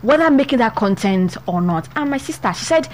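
A woman's voice: a little high, lively intonation, brisk, darkish tone, slight background noise. A young woman with a Nigerian accent, co-hosting and telling a story in a chatty way full of restarts. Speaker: podcast co-host. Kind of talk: casual advice talk.

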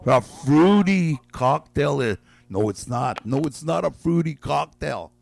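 A man's voice: fairly high pitch, animated, vocal fry, a little mellow, dry recording. A man hosting a call-in guessing game on the radio, drawing out and repeating each guess while he is losing his voice. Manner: drawn-out, repeats each guess slowly, chuckles. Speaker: radio game show host.

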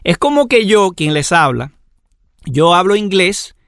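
Male Bible teacher, slightly raised pitch with some fry, patient explanatory lecture delivery. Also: very animated, fairly bright tone, no room sound, clean studio sound. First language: Spanish. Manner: measured, explanatory, lists examples. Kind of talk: lecture on scripture.

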